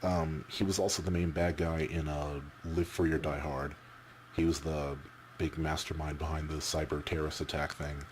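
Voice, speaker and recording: A man giving casual, off-the-cuff opinions as a vlogger, halting and repeating words as he rambles. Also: extremely low pitch, little pitch movement, slight background noise.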